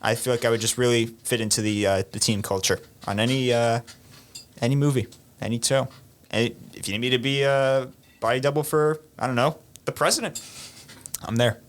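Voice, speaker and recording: A young adult casually pitching themself in an improvised podcast game, relaxed and hesitant. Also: brisk, a little hiss or hum. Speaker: podcast guest.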